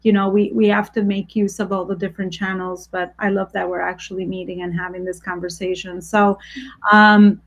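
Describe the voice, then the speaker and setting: A woman's voice: medium pitch, level tone, a little fast. A woman hosting an interview over a video call, talking in an effusive, rambling way. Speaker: host. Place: home room.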